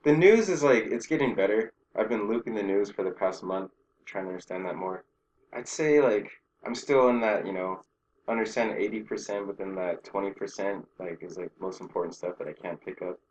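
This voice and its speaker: A young man chatting casually as an interview guest, peppering his talk with "like" and quick laughing asides. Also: on the low side, darkish tone, highs cut off, slight background noise. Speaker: guest, Japanese learner working in Japan. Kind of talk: casual conversation.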